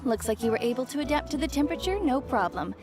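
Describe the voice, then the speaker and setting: An actress playing a caring but strict auntie in an anime game, instructive and gently bossy. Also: female voice, high-pitched, animated, quick pace. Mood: lecturing. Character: caring, strict auntie who dotes and scolds.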